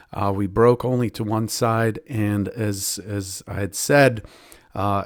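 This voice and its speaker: A man, a professional trader and show host, giving daily market analysis in a hoarse voice, clearing his throat often. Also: lowish voice, no room sound, clean studio sound. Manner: steady analytical talk with frequent throat clearing.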